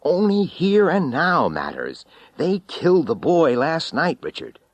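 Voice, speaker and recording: A man's voice: on the high side, wildly swooping pitch, measured pace, dry recording, pitched above the performer's own voice, full character voice. A man voicing a bitter, haunted former astronaut in an audiobook story, wry, weary and intense. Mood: anguished, urgent. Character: bitter, haunted male former astronaut recounting his ordeal.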